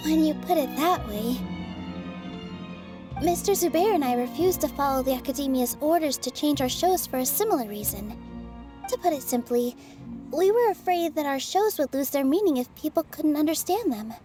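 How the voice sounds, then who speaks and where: A gentle, earnest young dancer in a game, soft-spoken and polite. Her voice sits very high, with faint music behind it. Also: animated, normal speed. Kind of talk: video game voice acting.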